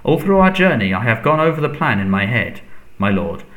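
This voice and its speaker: A man voicing a nervous, servile, cowardly henchman in a storybook, stammering and pleading in a squeaky voice. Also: very animated, brisk, roomy sound, phone-quality sound, natural voice. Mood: panicked, pleading. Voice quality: squeaky when agitated.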